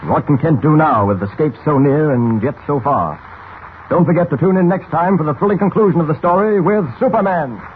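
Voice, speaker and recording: A man's voice: on the high side, fairly quick. A man narrating a 1940s radio serial in a dramatic, grandiose, suspenseful scripted style. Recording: muffled, faintly hissy old radio recording.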